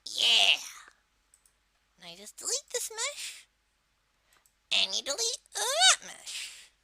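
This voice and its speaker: Someone putting on the voice of a young cartoon turtle villain, exaggerated and swooping, while presenting a software tutorial. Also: high-pitched, wildly swooping pitch, slow pace, gravelly, background noise, full character voice. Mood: instructive. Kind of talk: software modding tutorial, improvised walkthrough.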